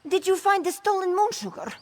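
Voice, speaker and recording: Actress as fiery feline game heroine: high-pitched, lively swings, brisk and self-assured. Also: female voice. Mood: urgent, focused. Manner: self-assured, brisk, refers to herself in third person. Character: proud, fiery cat-folk woman smuggler-hunter, confident. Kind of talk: video game character dialogue, AI-voiced.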